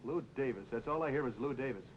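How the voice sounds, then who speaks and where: Actor playing irritable young man, jealous, very rapid talk, film noir radio drama, muffled noisy old recording. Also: mid-range voice, close to an everyday voice. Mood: irritated, jealous.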